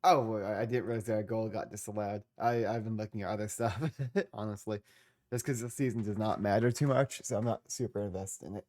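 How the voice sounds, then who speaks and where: A man's voice: fairly low pitch, steady tone, fairly quick, a little mellow. A young man with a congested voice, casually narrating a football management game he is streaming, with laid-back, trailing asides. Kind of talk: casual gameplay commentary.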